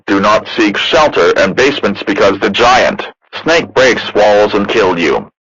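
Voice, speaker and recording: Husky male emergency alert announcer, muffled audio. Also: fairly low pitch, expressive, a little slow, a bit bright, no room sound. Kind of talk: scripted emergency broadcast warning.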